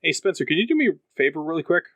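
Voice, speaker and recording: A man's voice: fairly high pitch, sing-song, very fast, a bit bright, dry recording. A man chatting casually as a player in a tabletop role-playing game.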